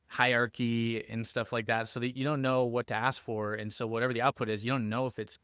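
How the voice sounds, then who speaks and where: A man hosting a podcast in casual conversation, talking informally and haltingly as he works out his thoughts. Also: medium pitch, little pitch movement, a bit bright, smooth, no echo, clean studio sound. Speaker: podcast host.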